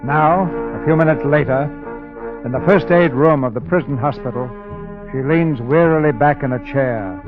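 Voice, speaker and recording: A man narrating a 1940s radio drama as announcer, with formal, dramatic, deliberate phrasing. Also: a little high. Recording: dull 1940s radio recording, loud music.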